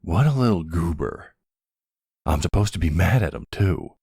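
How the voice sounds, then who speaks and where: Man acting as suave middle-aged mob boss, very deep, gravelly, intimate, flirtatious, ASMR roleplay. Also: animated, moderate pace, a little mellow, no echo, studio quality, heavily acted. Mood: teasing, flirtatious.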